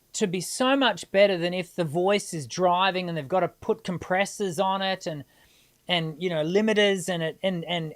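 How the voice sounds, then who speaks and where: A middle-aged woman, hosting a live singing Q&A and answering viewers in a chatty, encouraging way. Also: mid-range voice. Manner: chatty and encouraging, many restarts and filler ums.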